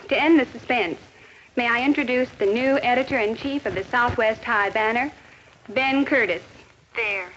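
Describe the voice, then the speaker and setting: An actress playing an earnest, caring high school teacher in a 1950s educational film, measured and formal in delivery. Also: female voice, sharp tone. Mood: pensive, concerned. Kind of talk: 1950s educational film acting with inner-thought narration. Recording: dull, noisy 1950s film soundtrack.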